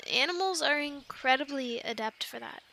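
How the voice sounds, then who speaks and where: A woman's voice: high-pitched, moderate pace, vocal fry, sharp tone, a little hiss or hum, exaggerated voice. A woman acting a chatty young island castaway who loves animals, in an intimate, rambling ASMR roleplay with hesitant, earnest explanations. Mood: earnest, uneasy.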